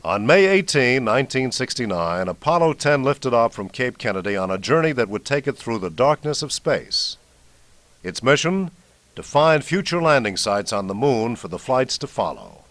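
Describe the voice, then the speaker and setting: A man's voice: mid-range voice, big pitch swings, normal speed, a bit bright, no room sound. An American man reading scripted narration as the host of a radio documentary.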